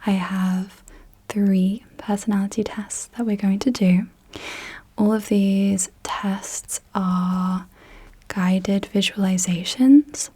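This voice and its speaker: A young woman whispering softly and unvoiced as an ASMR host, gently asking slow questions in a guided visualisation, close to the mic. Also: mid-range voice, husky. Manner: soft unvoiced whisper throughout. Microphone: close sensitive mic.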